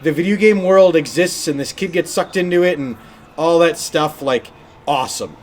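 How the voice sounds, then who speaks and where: Man, fairly high voice, podcast co-host, casual chat, enthusiastic gamer talk. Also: moderate pace, crowd noise. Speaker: podcast co-host and game streamer.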